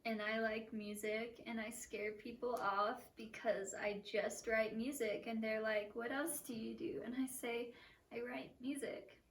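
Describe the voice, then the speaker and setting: A woman's voice: fairly high pitch, flat delivery, a little slow, recorded from a distance, a little hiss or hum. Young woman musician guest, casual and self-deprecating, recorded off-mic in an echoey room. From Portland, Oregon. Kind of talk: casual chat.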